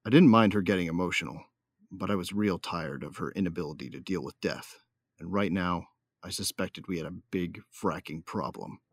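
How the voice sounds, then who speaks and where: An actor playing a hard-bitten, vengeful bounty hunter who narrates an audio drama in a gruff, sardonic, slangy way. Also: male voice, deep voice, moderate pace, no room sound, studio quality. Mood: grim, sardonic.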